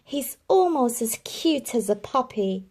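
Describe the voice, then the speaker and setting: Prim young female storybook spaniel, high and polite, voiced by a woman narrator. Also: expressive, measured pace, a little mellow. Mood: fond. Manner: sweet, polite and proper.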